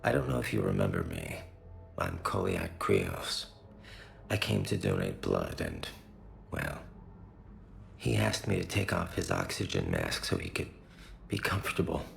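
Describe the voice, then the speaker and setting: An actor playing a grieving young alien son, reverent, formal and measured, with a very deep, gravelly voice. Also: male voice, wildly swooping pitch, a little hiss or hum, full character voice. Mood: grieving, hesitant. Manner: measured, solemn, polite.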